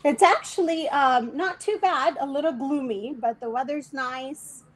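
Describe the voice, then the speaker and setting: A woman from Bangladesh, a tech executive, answering interview questions remotely in a measured way with frequent "um"s. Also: sits high, a little hiss or hum.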